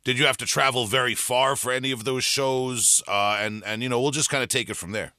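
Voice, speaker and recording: A man's voice: medium pitch, fairly even intonation, fairly quick, pushed and bright, clean tone, dry recording, studio quality. A man from the United States hosting a podcast, casual and loose, often saying "man" while he interviews. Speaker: podcast host and vocalist.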